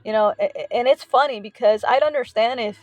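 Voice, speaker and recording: A woman speaking earnestly in a personal faith vlog, heartfelt and halting, often pausing on filler words. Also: a little high, no room sound, faint music behind. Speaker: faith vlogger.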